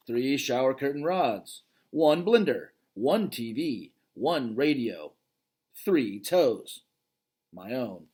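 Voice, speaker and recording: A man, a father, reading a children's novel aloud and pausing to chat with his listeners about the story. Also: a little high, husky. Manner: expressive reading, breaks off to comment directly to listeners.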